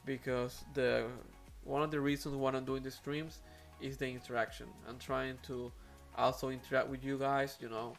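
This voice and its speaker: A man's voice: mid-range voice, little pitch movement, moderate pace, vocal fry, few pauses, faint music behind. A man whose first language is Spanish, speaking English with a Spanish accent as host of an aquarium live stream, talking casually with many fillers and restarts.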